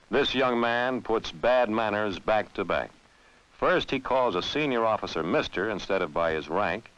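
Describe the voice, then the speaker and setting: A man's voice: fairly low pitch, fairly bright tone, dull audio. A man narrating a 1960s instructional film in a wry, conversational lecturing manner. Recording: band-limited 1960s film soundtrack, music behind. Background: background music.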